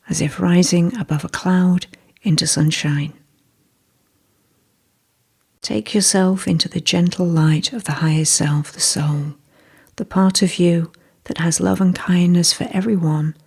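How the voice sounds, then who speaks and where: Husky, creaky-voiced guided meditation teacher. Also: mid-range voice, steady tone, a little slow, a little mellow. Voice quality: husky, breathy, with creaky fry.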